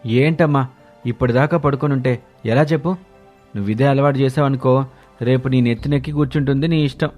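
A man playing a surprised, mildly teasing young village-born husband and son in an animated story. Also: mid-range voice, fairly quick, long pauses, natural voice. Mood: grumbling. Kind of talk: animated moral-story voice acting. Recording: music bed under the voice.

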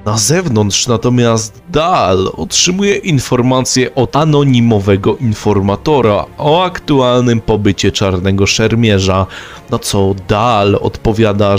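A man giving dramatic scripted narration of a manga story. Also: lowish voice.

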